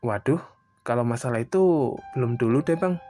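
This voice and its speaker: A man's voice: medium pitch, expressive, normal speed, warm tone, natural voice. Man acting modest young guy over music. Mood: earnest, cheerful. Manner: casual, deferential, earnest. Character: modest, grateful young working man, easygoing and respectful. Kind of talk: casual animated dialogue. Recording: voice over prominent background music.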